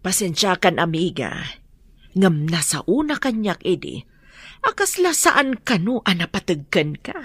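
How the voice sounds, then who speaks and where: A woman playing a frank, sympathetic middle-aged friend in a radio drama, with a Filipino Ilocano accent and a husky voice. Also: fairly low pitch, very animated, unhurried, fairly bright tone, dry recording, full character voice.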